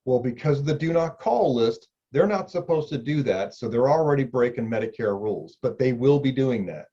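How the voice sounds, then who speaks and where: Middle-aged male agent, plain conversational explainer, mid pitch, slightly muffled audio. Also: lively intonation, brisk, warm tone, breathy edge. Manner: conversational, repeats key points, addresses viewer directly. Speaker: insurance agent explaining benefits. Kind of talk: explainer video talk.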